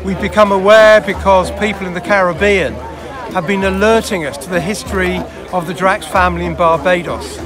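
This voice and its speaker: An older man speaks close to the camera at an outdoor rally, explaining earnestly and steadily. His voice sits fairly high. A crowd and clear music carry on behind him. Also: fairly even intonation, brisk. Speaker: event organiser. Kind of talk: on-camera interview. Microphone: close camera mic.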